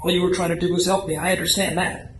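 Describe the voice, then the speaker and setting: An actor playing an earnest, sheepish husband with a halting manner in a radio play. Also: male voice, high-pitched, flat delivery, a crowd in the background, lightly acted. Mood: earnest, resigned. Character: George, health-obsessed husband, sheepish and earnest. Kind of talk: audio theater acting in old-time radio manner. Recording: echoey stage recording, clear noise.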